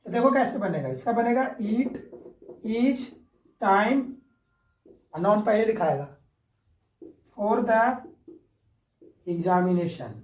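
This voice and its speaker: A man's voice: high voice, expressive, drawn-out words, pauses often. A man teaching a grammar lesson step by step, repeating points and checking for understanding with short tag questions. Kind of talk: classroom-style grammar lesson, explaining step by step. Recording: echoey room, distant microphone.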